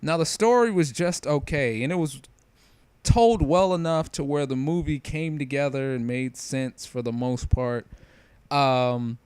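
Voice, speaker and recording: A man's voice: medium pitch, measured pace, darkish tone, clean tone, dry recording. A man giving casual, opinionated review commentary in an informal, slangy, conversational way. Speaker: video creator giving commentary.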